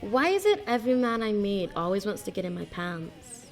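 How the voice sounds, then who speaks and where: A woman's voice: mid-range voice, very animated, moderate pace, gravelly, faint music behind. A woman playing a gossipy, needy young maiden companion in a video game, with a chatty, forward manner.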